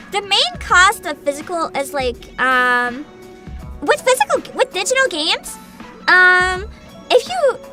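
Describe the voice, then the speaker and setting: A young woman streaming casually, answering chat questions with frequent giggles and a playful mock-boss attitude. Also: high voice. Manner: giggly, animated, frequent laughter and restarts; playful mock-CEO boasting. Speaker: vtuber streamer.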